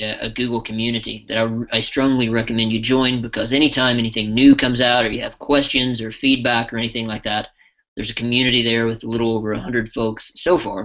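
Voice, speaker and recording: A man giving a casual live software demo as a trainer, talking loosely with frequent restarts. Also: medium pitch, highs cut off.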